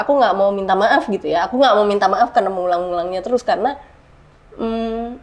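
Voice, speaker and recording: A woman's voice: medium pitch, a little mellow. A middle-aged woman talking frankly in a casual podcast chat, using slang and repeating words for emphasis. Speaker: podcast guest.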